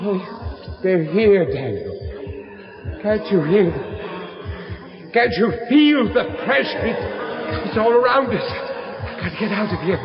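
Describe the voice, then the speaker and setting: A man's voice: high voice, expressive, music in the background, full character voice. Haunted man, cavernous echo. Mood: panicked. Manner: halting, intense, theatrical. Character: haunted, troubled man sliding into panic. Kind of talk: 1970s British radio horror acting. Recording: dull recording, long cavernous reverb.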